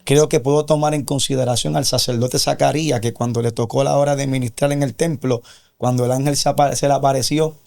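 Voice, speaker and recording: A man's voice: mid-range voice, steady tone, fairly quick, gravelly, darkish tone. A man giving a personal religious testimony as an interview guest, earnest and a little preacherly.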